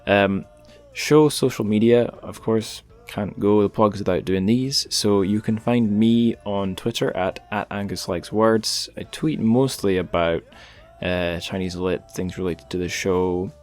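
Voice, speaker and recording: A man with a fairly low voice hosts a podcast in a casual, informal way. He has a wry, self-deprecating manner, and there is faint music behind him. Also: steady tone, measured pace, few pauses, a little mellow. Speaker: podcast host. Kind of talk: casual podcast talk with plugs.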